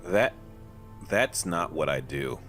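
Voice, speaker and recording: An actor in an audio drama playing a young, earnest, mild and conflicted superhero who speaks in a measured way and halts under pressure. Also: male voice, sits low, lively intonation, a bit bright, soft background music, full character voice. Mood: hurt, confused.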